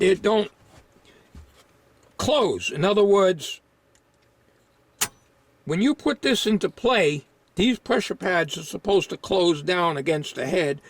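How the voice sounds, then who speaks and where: A man giving an informal, unscripted hands-on repair demonstration, thinking aloud in a halting way. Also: a little high, vocal fry.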